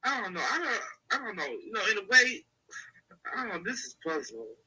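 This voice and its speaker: A woman calling in to a live show, congested and soft-spoken, talking hesitantly with many fillers. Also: mid-range voice, big pitch swings, fairly quick, breathy edge, low-quality recording. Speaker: caller. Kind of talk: casual phone-in chat.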